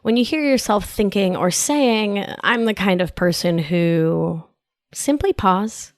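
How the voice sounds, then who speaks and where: Lively woman podcast host, Argentine accent. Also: medium pitch, takes it slowly, dry recording, clean studio sound. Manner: lively, playful, affectionate, wanders into asides. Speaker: podcast host and life coach. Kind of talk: scripted solo podcast talk. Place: New York.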